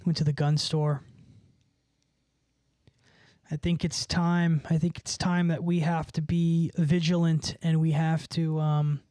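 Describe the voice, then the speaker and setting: A man's voice: on the high side, a little mellow, no echo, clean studio sound. A man livestreaming, chatting casually with viewers in a rambling, profane, slangy way. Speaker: livestreamer and musician.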